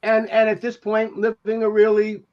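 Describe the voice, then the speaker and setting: An older man chatting casually and reminiscing as a podcast panelist, in a halting, rambling way. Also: high-pitched, completely flat delivery, a little mellow. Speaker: podcast panelist, TV industry veteran. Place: home room, on a video call. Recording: dull lo-fi video call audio.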